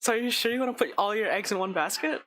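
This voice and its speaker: A woman's voice: medium pitch, fast talker, fairly bright tone, a little hiss or hum. A woman hosting a casual group chat on stream, teasing and repeating her words in bursts. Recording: clicking noises in the background.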